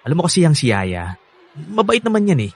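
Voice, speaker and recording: A man's voice: fairly high pitch, wildly swooping pitch, fairly quick, slightly rough, heavily acted. An actor playing an affectionate, eager young man with a Filipino accent in a radio drama, chatty and earnest. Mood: fond, playful. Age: young adult. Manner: earnest, chatty, laughs often.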